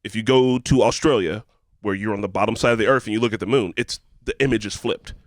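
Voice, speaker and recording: Fast-talking man, casual and emphatic. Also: lowish voice, no echo. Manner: emphatic explainer with casual swearing. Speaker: podcast co-host. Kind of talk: casual group chat.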